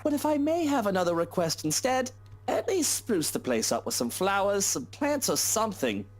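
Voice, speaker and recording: Brief: bossy, impatient rich husband in a game, curt orders, animated swinging delivery. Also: male voice, high voice, moderate pace. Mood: mollified. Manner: curt, commanding.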